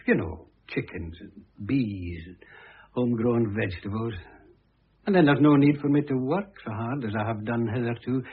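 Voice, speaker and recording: An actor playing a self-important, self-pitying middle-aged divorced husband, pompous and long-suffering, in radio drama style. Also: male voice, medium pitch, breathy edge. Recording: muffled lo-fi old radio recording.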